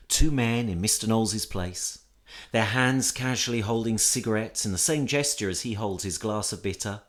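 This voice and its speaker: A man reading a reflective personal essay aloud in a measured, thoughtful manner as scripted narration. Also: lowish voice.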